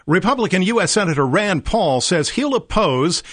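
A man reading a radio newscast with a crisp, even delivery. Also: sits high, normal speed, dry recording.

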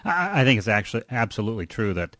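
A man hosting an interview show, speaking in measured, formal phrases as he introduces topics and questions a guest. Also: on the low side, very animated, a bit bright, no echo, clean studio sound. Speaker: radio show host and interviewer.

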